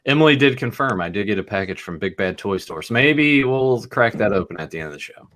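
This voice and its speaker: A man's voice: fairly low pitch, lively intonation, brisk, a bit bright. A man hosting a podcast in casual chat, telling rambling stories with free swearing and laughing at himself. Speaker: podcast host.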